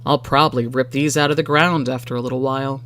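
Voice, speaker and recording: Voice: medium pitch, fairly even intonation, quick pace, a bit bright, natural voice. A storyteller voicing a blunt male farmer in a story, casual and dismissive. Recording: background music under the voice.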